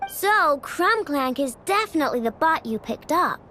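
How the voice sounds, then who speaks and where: A woman's voice: high voice, big pitch swings, normal speed, pushed and bright, a little hiss or hum, exaggerated voice. A woman voicing an earnest, spirited young girl inventor in a fantasy video game.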